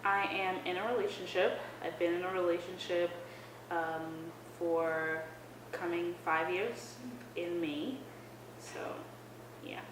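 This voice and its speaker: A twenty-eight-year-old woman from Trinidad introduces herself in a calm, measured way. Her voice sits slightly low. The room is echoey, and there is clear noise behind her. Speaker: photographer and healing coach. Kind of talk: casual interview answers.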